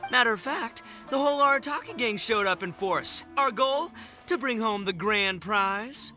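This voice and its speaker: A man voicing a loud, boastful, goofy young gang boss in a video game, slangy and bombastic. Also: sits high, lively intonation, moderate pace, soft background music, exaggerated voice. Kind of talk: animated video game dub acting.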